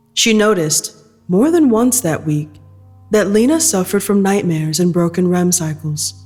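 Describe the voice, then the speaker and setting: A woman reading scripted dramatic fiction as narrator, in a measured, deliberate manner. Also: fairly low pitch, darkish tone.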